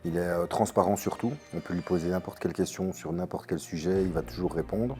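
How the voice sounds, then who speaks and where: A man's voice: low voice, lively intonation, fairly quick, gravelly, a little mellow, soft background music. A man in his thirties, a refrigeration technician, giving a testimonial in an interview with some hesitation. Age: 30s.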